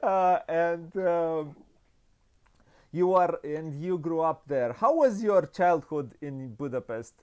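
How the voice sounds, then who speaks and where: A male host conducts an unscripted interview in a smooth, fairly high voice. He takes his time, halting often and restarting words as he feels his way through each sentence. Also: darkish tone. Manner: halting, hesitant, many stammered restarts. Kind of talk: unscripted board game interview. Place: online video call interview.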